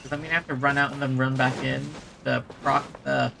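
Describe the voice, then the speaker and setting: A man streaming a video game, informally reading dialogue aloud and thinking out loud as he plays. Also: mid-range voice, flat delivery, fairly quick, gravelly, background noise.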